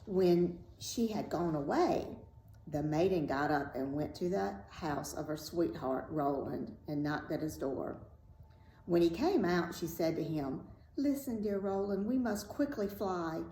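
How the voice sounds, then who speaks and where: A woman reading a fairy tale aloud as host, in a deliberate, dramatic storytelling style. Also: deep voice, warm tone. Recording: roomy echo, faint background noise.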